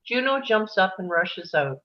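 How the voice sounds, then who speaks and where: A woman's voice: mid-range voice, big pitch swings, unhurried, lo-fi sound, a little hiss or hum. A woman narrator reading scripted stage directions for a screenplay.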